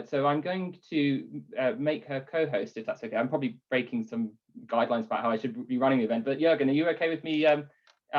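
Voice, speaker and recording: A man's voice: a little high, lo-fi sound. A man hosting and moderating a virtual talk, polite and a little flustered, speaking over a video call. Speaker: event host and moderator.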